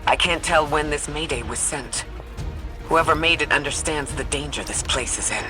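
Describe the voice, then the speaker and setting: An actor playing a calm, analytical mission guide and scientist in a video game, speaking in a measured, articulate, earnest way. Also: male voice, on the high side, natural voice. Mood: thoughtful concern. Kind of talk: scripted game dialogue. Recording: music behind the voice.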